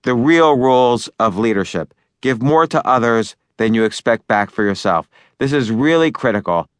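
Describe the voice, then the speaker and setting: A man's voice: mid-range voice, expressive, moderate pace, clear, resonant tone, no echo, clean studio sound. A man narrating his own book in a loose, conversational way, with fillers and restarts as he talks over each point. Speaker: author and narrator.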